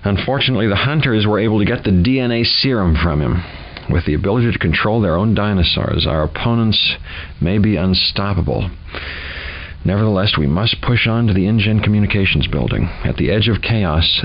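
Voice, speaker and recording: An actor playing a gloomy, wry scientist who narrates mission notes in a video game, dry and foreboding. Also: male voice, fairly low pitch, fairly quick. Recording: dull lo-fi game audio.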